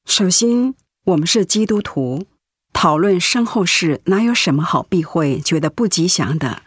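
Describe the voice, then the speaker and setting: Husky, gentle ailing mother. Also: fairly low pitch, expressive, moderate pace, no room sound, studio quality. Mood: firm, earnest. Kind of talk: Mandarin radio drama acting.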